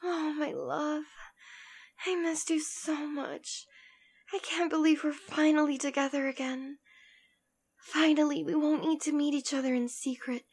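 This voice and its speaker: A woman voicing a demon queen in a scripted ASMR roleplay, speaking in a theatrical, intimate and deliberate manner. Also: high voice, fairly even intonation, a little mellow. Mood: tender, concerned. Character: demon queen, imperious and possessive, secretly tender lover.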